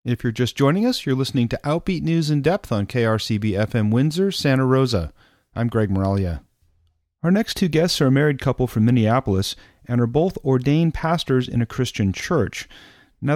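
A male radio host with a mid-range voice reads smooth, scripted introductions and thanks his guests. The sound is dry and close, with no room in it. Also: medium pitch, darkish tone, dry recording. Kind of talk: radio interview hosting.

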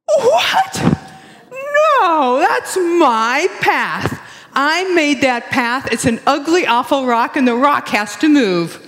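Storybook coyote, acted by a woman: indignant, bossy complainer, animated delivery, reverberant hall. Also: high-pitched, takes it slowly, full character voice. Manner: complaining, insistent. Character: whiny, demanding, indignant male coyote.

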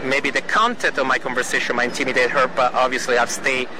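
A man's voice: mid-range voice, flat delivery. Indignant man outdoors over traffic. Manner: earnest, indignant, halting with restarts. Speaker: citizen filming a video clip. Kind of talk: casual first-person video report. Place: outdoors at a harbor. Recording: outdoor video with traffic noise.

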